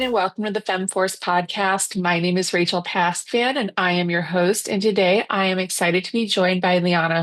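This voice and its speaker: Woman podcast host, lowish pitch, dry close sound, upbeat and encouraging. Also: no echo. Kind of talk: casual interview chat.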